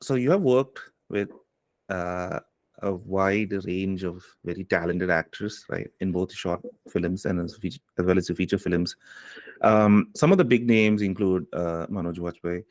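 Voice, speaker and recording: Deep-voiced interviewer, dry sound. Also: male voice, fairly even intonation, normal speed, no room sound. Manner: hesitant, with many fillers, ending questions on "right?". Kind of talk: interview questions.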